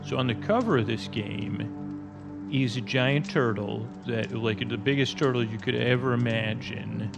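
A man's voice: medium pitch, a little slow, gravelly. A middle-aged man hosting a podcast, delivering a rambling, whimsical, hesitant monologue that keeps interrupting and apologizing for itself, with sound-design effects. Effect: sound-design effects over the episode. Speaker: podcast host. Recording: dull lo-fi sound under loud music. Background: loud music.

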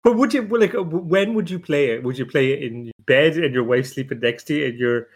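A man's voice: on the high side, a little fast, breathy edge. A man talks as one of a podcast panel. His delivery is informal and conversational, full of casual banter.

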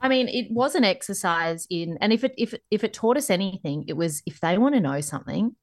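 A woman's voice: medium pitch, animated, a little fast. A woman in her thirties with an Australian accent, chatting casually and jokily as a podcast co-host. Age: 30s. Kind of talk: casual, joking chat between friends.

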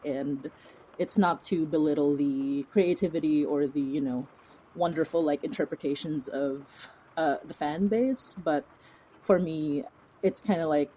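A woman's voice: low-pitched, warm tone, poor audio. A woman talking casually as an interview guest, informal and chatty, thinking aloud as she goes. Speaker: interview guest, fiction podcast creator.

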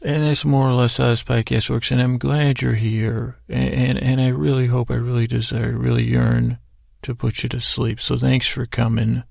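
A man with a heavily creaky, gravelly voice hosts a bedtime podcast in a very dry, close sound. He wanders through whimsical asides, stumbling and doubling back on his words. His pitch sits in an ordinary middle range for a man. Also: flat delivery, no room sound. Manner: meandering, halting, stammering with repeated words; whimsical, gently addressing imagined listeners. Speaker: podcast host. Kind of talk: rambling bedtime sleep-podcast monologue.

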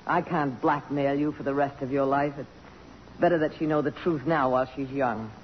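A woman's voice: a bass voice, steady tone, moderate pace. An actress playing a principled middle-aged American woman, terse, wounded and firm, in radio drama style. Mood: upset, resolute. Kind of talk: 1950s radio drama acting. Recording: muffled, noisy old radio, long reverb.

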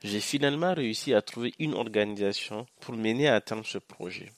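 A young man from Niger, a Hausa speaker, giving a measured, earnest first-person account on a podcast with occasional hesitant fillers. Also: lowish voice, no echo. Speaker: podcast guest, social entrepreneur. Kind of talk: first-person podcast testimony, partly read.

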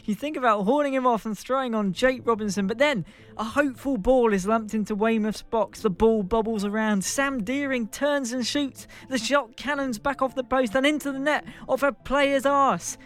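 A man from England, a bit hoarse, narrating a comic football role-playing game as game master, with mock-dramatic delivery that leans into punchlines. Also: very high-pitched, normal speed, fairly bright tone. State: slightly hoarse. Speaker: podcast co-host and game master.

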